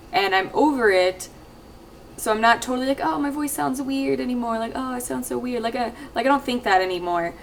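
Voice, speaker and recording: Chatty young woman, slightly husky. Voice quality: slightly husky, low-ish voice. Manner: chatty, rambling with many fillers and restarts. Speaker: YouTube let's player. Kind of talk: casual talk to camera. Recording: distant mic with faint ambient noise.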